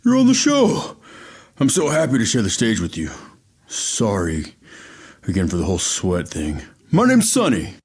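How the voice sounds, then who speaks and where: Man voicing a gentle, swooping low-voiced mammoth monster. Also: wildly swooping pitch, normal speed, slightly rough, heavily acted. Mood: kindly, encouraging. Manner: polite, gentle, apologetic. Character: massive furry mammoth monster, sweet, nervous, encouraging.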